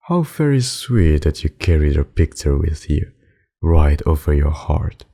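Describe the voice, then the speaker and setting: A man playing a possessive, sadistic vampire lord in an ASMR roleplay, speaking in a husky murmur with slow, formal menace and mocking endearments. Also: dark tone, heavily acted. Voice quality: very deep, husky, gravelly murmur.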